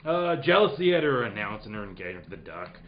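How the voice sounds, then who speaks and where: A man playing a detective explaining a case in a halting, hammy, jokey radio spoof. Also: on the low side, very animated, voice pushed down. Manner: halting, hammy, breaks into laughter and asides. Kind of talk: mock old-time radio play acting. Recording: echoey live room, dull sound.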